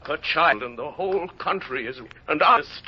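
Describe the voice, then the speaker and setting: An actor playing an anguished mayor who hides a convict past, in halting, brooding radio drama soliloquy. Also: male voice, on the high side, expressive, slight background noise, lightly acted. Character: anguished mayor hiding his convict past, wrestling his conscience. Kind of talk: 1930s-40s radio drama acting. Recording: muffled vintage radio recording, faint music.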